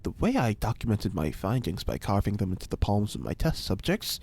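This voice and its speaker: An actor playing an eccentric, pompous ex-cult leader and scientist in an audio drama, speaking formally and precisely with dramatic pauses. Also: male voice, on the low side, big pitch swings, gravelly, a little mellow, slightly rough, heavily acted. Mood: solemn, wistful. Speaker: fictional scientist being interviewed.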